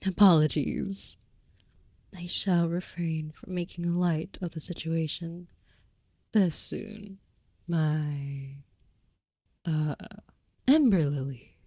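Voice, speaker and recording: A woman playing a devoted, tender vampire girlfriend in an intimate audio roleplay, speaking softly and breathily in florid, reverent, formal language. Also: deep voice, takes it slowly, long pauses, dry recording, muffled recording, exaggerated voice. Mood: tender, adoring. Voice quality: soft, breathy with whispered moments.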